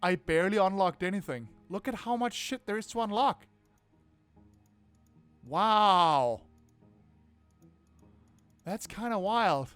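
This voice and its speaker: Higher-voiced man doing sweary game commentary. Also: slow, pauses often, clean tone, dry recording. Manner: casual, sweary, expressive. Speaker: gaming video host. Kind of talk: game playthrough commentary, reading narration aloud. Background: faint game music.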